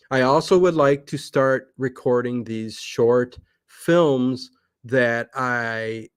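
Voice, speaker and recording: A man's voice: medium pitch, dry recording. A man with a mid-range voice speaks in a casual, rambling way as an interview guest. He often restarts his sentences as he thinks aloud. The sound is dry, with no room on it. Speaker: guest, online old-time radio channel creator. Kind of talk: casual livestream interview chat.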